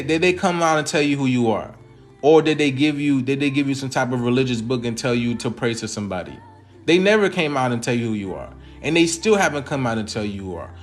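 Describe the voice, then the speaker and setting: A man hosting and reacting to a clip in casual, emphatic commentary, full of slang and repeated phrases, who says he is Haitian. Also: a little high.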